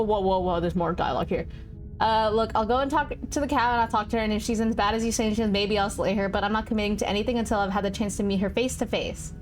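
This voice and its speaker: A woman livestreaming a game in casual, slangy chat, thinking aloud and reading choices out. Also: fairly high pitch. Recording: stream with game music behind.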